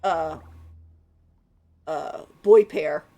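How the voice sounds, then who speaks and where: A woman's voice: deep voice, drawn-out words, mellow, highs cut off, soft background music. A woman giving casual, informal live commentary as a game streamer, in a chatty manner.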